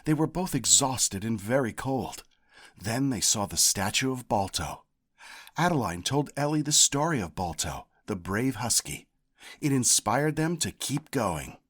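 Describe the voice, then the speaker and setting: A man reading a children's picture book aloud as a storyteller, with a deliberate, expressive delivery. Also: medium pitch, slightly rough. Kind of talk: scripted read-aloud narration.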